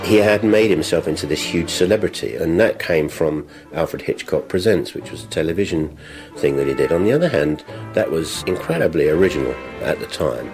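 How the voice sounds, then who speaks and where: A man comments reflectively as an interviewee. His voice is extremely low. Music plays clearly behind him. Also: brisk.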